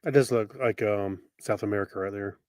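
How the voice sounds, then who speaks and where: A man's voice: fairly low pitch, fairly quick, a little mellow, no room sound. Man chatting casually, close dry sound. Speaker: podcast co-host. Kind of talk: casual chat.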